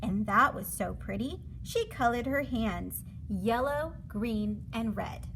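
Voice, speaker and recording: Animated high-voiced woman reading a storybook. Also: slow, creaky, a little hiss or hum, rough recording. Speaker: storybook reader. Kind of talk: animated children's read-aloud narration.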